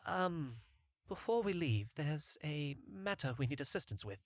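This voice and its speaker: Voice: mid-range voice, sing-song, measured pace, no echo. A narrator voicing an earnest, impulsive young male novice healer in a fantasy game story. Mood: awkward, earnest.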